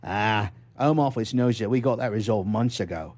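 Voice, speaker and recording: A man's voice: on the low side, moderate pace, darkish tone, natural voice. A man voicing an irritable, exasperated chief executive in a satirical short story read aloud for radio. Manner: tense, irritable. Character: Lucifer, dangerously calm, exasperated male corporate chief executive.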